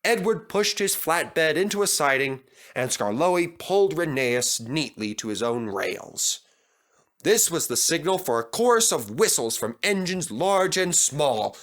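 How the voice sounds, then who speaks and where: Expressive male storybook narrator, animated pitch. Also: a little slow. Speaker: story reader.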